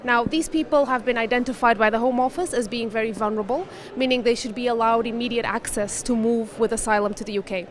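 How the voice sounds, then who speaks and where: A woman's voice: fairly high pitch, steady tone, quick pace, few pauses. Articulate woman. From Portugal. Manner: articulate, explanatory. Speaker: refugee volunteer. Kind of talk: interview answers.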